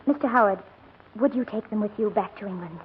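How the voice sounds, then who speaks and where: A woman's voice: mid-range voice, fast talker, slightly rough. A woman acting a strained, earnest, anxious mother in a 1940s radio drama. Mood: anxious pleading. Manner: earnest, urgent. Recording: tinny old radio recording, faint noise.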